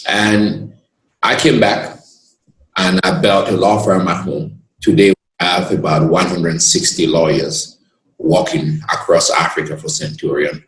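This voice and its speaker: Deep-voiced man, echoey room. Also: steady tone. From Cameroon. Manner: expansive and earnest, pauses to gather his words. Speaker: guest, lawyer. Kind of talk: reflective storytelling in conversation.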